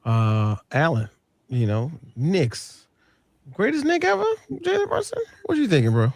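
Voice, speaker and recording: A man's voice: a little high, huge pitch swings, unhurried, no echo. A man hosting a sports radio discussion, casually putting questions to his panel.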